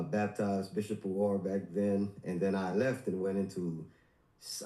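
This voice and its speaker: A man's voice: deep voice, dark tone, echoey room, poor audio. A man in his forties from Guyana, calling in to a church livestream and telling his story with deference. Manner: deferential, says sir often. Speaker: caller, church member. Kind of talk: casual call-in testimony.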